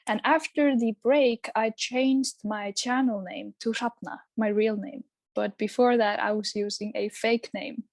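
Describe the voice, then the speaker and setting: A woman's voice: on the high side, no room sound. A young woman from Iceland, talking casually as an interview guest, chatty and full of ums and restarts. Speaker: guest YouTuber.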